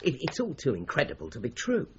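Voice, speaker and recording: A man playing a shrewd, methodical British police inspector in a radio drama, brisk and authoritative. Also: mid-range voice, wildly swooping pitch, background noise. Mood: thoughtful, dogged. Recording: slightly dull radio drama recording.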